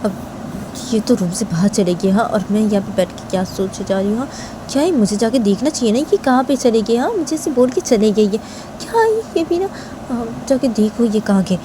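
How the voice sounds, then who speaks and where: A woman as a high, pleading young woman. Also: lively intonation, normal speed, background noise, close to an everyday voice. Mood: puzzled. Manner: coaxing, repeats herself. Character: pleading, placating young woman, softly exasperated partner.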